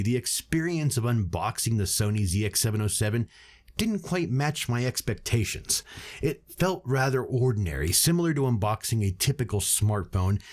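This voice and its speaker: A man hosting a product review, reading scripted narration to his viewers. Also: fairly low pitch. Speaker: reviewer and channel host. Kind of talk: scripted video narration, audio gear review.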